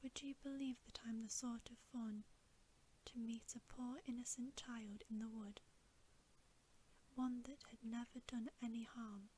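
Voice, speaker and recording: Woman acting guilty male fawn, slow whisper, sorrowful, remorseful, ASMR storybook, faint hiss. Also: fairly high pitch, dark tone, lightly acted. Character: weepy, guilt-ridden male fawn confessing wrongdoing.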